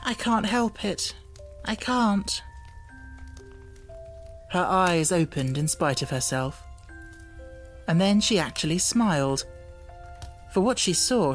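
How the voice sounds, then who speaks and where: A woman reading an audiobook as narrator, with deliberate phrasing and expressive, dramatic emphasis. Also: low-pitched. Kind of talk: dramatic scripted storybook narration. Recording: music bed under the voice. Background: music.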